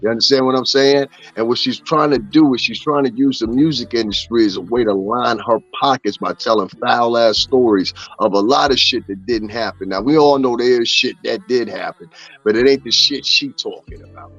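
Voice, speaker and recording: A man's voice: mid-range voice, normal speed, fairly bright tone, dry recording, lo-fi sound, faint music behind. Profane ranting man on a stream. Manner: profane, ranting, repeats "you understand what I'm saying". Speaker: commentary streamer. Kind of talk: casual gossip commentary.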